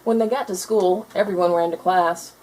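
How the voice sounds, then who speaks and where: A woman reading a children's storybook aloud in expressive narration. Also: fairly low pitch, normal speed, a little hiss or hum, low-quality recording.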